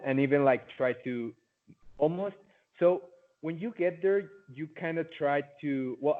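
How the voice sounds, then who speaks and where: Young man, late teens, Mexican Spanish accent, native Spanish speaker, casual interview answers, phone-like tinny audio. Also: medium pitch. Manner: chatty, loose, full of "like" fillers. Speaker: interviewee, former student.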